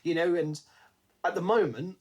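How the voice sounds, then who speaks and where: Podcast guy talking books, voice a bit on the high side, super conversational. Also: normal speed, a little mellow, dull audio. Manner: conversational, acts out imagined quotes. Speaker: podcast co-host. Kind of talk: casual book discussion.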